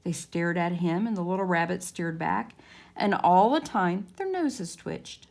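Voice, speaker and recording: A woman narrating a children's storybook as a story time reader, in a measured, expressive way. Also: lowish voice, vocal fry.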